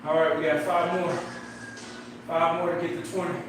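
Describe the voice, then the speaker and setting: A man in his forties from Missouri, out of breath and pushing himself through a workout, counting reps out loud and egging himself on. His voice sits a bit high, heard from across a very echoey room with steady noise behind him. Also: moderate pace. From Midwest, Missouri. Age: 40s. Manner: self-motivating, counting aloud, urging himself on. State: out of breath from exercise. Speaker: fitness livestreamer. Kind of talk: casual workout livestream chat, counting reps. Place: home workout space at night. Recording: echoey room, mic far off, noisy background.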